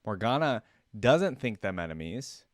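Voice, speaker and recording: Low-voiced man giving casual fan commentary. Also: big pitch swings, measured pace, no room sound, studio quality. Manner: musing, slangy, interjecting. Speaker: reaction video host.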